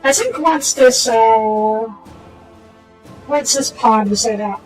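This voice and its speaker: A woman with a slightly hoarse voice, casually talking through a strategy game and muttering to herself as she plays. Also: fairly high pitch, slow pace, faint music behind, poor audio. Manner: muttering to herself while playing, trailing off into quiet asides. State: hoarse from a sore throat. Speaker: gaming channel host. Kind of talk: casual gameplay commentary.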